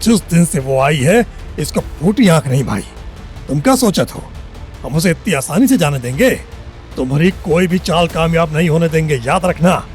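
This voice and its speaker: Male actor as hot-tempered father, rustic and threatening, slightly high pitch, audio drama, music underneath. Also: lively intonation, moderate pace, a little mellow, close to an everyday voice. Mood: angry. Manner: gruff, threatening, rustic speech. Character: hot-tempered, suspicious small-town father, heavy drinker. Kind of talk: Hindi audio story drama.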